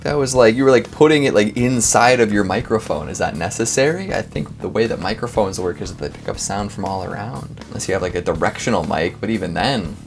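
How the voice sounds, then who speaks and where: A man hosting a casual comedic reaction show, talking in an animated, wry, rambling way with sudden exclamations. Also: lowish voice, a little fast, gravelly, few pauses, soft background music. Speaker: host reacting to scary clips.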